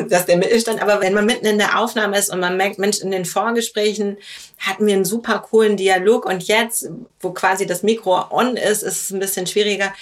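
A woman interviewing a podcast host, asking questions in a friendly, lively way. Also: medium pitch, fairly quick. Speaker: interviewer, community manager.

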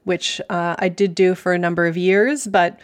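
Chatty Canadian woman, lowish voice. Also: steady tone, normal speed. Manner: chatty, thoughtful, many fillers. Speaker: beer sommelier guest. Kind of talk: podcast interview.

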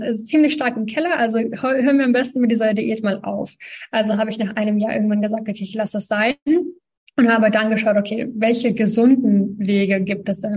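A woman in her twenties from Ukraine, telling her story as a guest in a relaxed interview, talking with lots of fillers and small laughs. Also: on the high side. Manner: chatty, many fillers, laughs between sentences. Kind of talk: casual interview talk.

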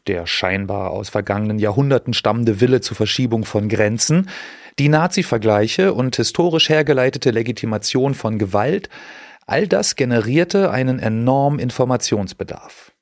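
Male podcast host, measured scripted read, mid-range voice, dry sound. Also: mid-range voice, animated, no echo.

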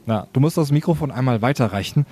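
Mellow-voiced male radio reporter on location. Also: medium pitch, animated, fast talker, husky. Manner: informal, chatty. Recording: on-location recording with background noise.

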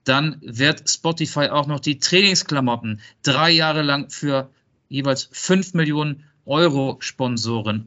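A man co-hosting a casual football podcast and acting as quizmaster in relaxed conversation. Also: fairly high pitch, highs cut off.

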